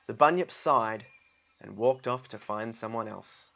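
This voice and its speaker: A man's voice: fairly low pitch, unhurried, gravelly, fairly bright tone, muffled recording. A man with an Australian accent reading a storybook aloud and chatting casually about it. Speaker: guest storybook reader. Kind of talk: storybook narration with casual asides.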